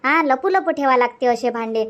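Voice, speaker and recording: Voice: sits high, lively intonation, moderate pace, fairly bright tone, dull audio. A cartoon performer voicing an opinionated village auntie, emphatic, repetitive and scolding. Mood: scolding, knowing. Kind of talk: Marathi cartoon comedy dubbing.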